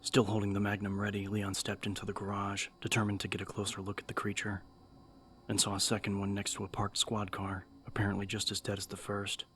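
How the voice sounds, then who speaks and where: A man narrating dramatic fiction as an audiobook, with measured, suspenseful delivery. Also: on the low side, monotone, fairly bright tone, slight background noise.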